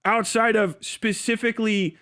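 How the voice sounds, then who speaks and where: High voiced guy doing livestream commentary, argumentative, repeats himself for emphasis, swears casually. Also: little pitch movement, moderate pace, sharp tone, clean tone. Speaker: live stream host. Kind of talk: off-the-cuff livestream legal commentary.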